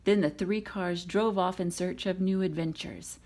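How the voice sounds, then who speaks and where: A woman reading a children's storybook aloud in an expressive narrating style. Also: on the low side, unhurried. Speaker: storybook reader.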